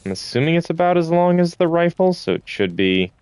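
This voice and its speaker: A man's voice: on the high side, big pitch swings, fairly quick, darkish tone, clean tone, no echo. A man giving casual gameplay commentary, thinking aloud and muttering to himself with the odd swear word. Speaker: gamer recording a playthrough.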